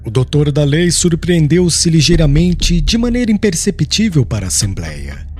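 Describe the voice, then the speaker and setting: A man's voice: on the high side, sing-song. A man narrating an audiobook in a solemn, formal, dramatic literary style. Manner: solemn, formal, measured phrasing. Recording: clear music bed under voice.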